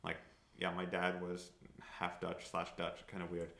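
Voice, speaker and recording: A man's voice: low-pitched, monotone, a little slow, vocal fry, pushed and bright, reverberant. A young man from South Africa, speaking with a light international accent that has faint South African traces, chatting casually on a podcast and rambling with many fillers. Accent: light international English with faint South African traces. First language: Afrikaans. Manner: rambling, full of "like" and restarts.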